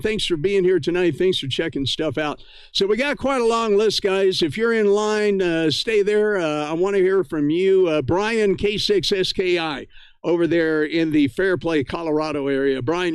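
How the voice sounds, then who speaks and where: Friendly man hosting a radio net, clear voice. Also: on the high side, dry recording. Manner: friendly, effusive, thankful. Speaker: ham radio net host and livestreamer.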